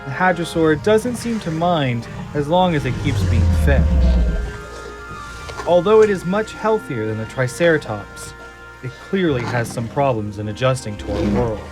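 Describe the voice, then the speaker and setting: A man's voice: mid-range voice, lively intonation, moderate pace, rough recording. A man delivering dramatic scripted narration as the narrator of an audio drama. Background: music and sound effects.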